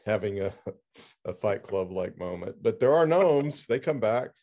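A man hosting a casual interview, with halting, hesitant phrasing. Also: fairly low pitch, lively intonation, mellow. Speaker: podcast host, interviewer.

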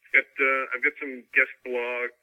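A man's voice: mid-range voice, level tone, normal speed. A man giving a casual interview as a novelist, talking in a chatty, jokey way over a remote call. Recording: dull, lo-fi phone-like line.

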